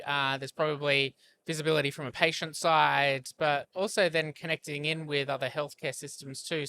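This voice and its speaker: Male host, bright and forward tone, slightly high, thoughtful questioner. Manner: thoughtful, framing long questions. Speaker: podcast host. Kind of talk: interview questions.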